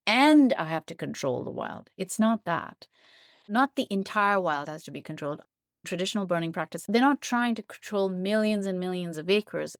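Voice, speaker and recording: Low-voiced woman explaining thoughtfully, dry sound. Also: clean tone, dry recording. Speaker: interview guest, biologist and writer.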